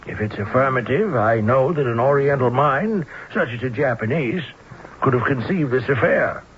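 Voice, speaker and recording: Man playing a precise, self-assured gentleman sleuth. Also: mid-range voice, normal speed, lightly acted. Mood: probing. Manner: precise, erudite, slightly pompous. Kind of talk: 1970s radio mystery acting. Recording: muffled old radio recording, faint hiss.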